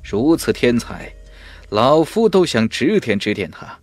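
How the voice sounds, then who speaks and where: A man voicing a cold, sly, burly old warrior master in an audiobook, measured and falsely magnanimous. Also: mid-range voice, very animated, dry recording, faint music behind. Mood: smug, calm.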